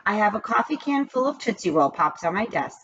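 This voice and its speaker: A woman reading as a brisk, bossy female schoolteacher in a storybook, with a stern and insistent manner. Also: mid-range voice, sing-song, breathy edge. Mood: pleased. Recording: dull lo-fi recording with background noise.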